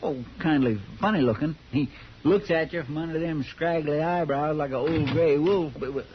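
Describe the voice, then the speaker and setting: An actor playing an eager, chatty, respectful marshal's helper with rustic, folksy speech in a 1950s radio Western. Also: male voice, fairly high pitch, normal speed, close to an everyday voice. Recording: dull, noisy vintage radio recording.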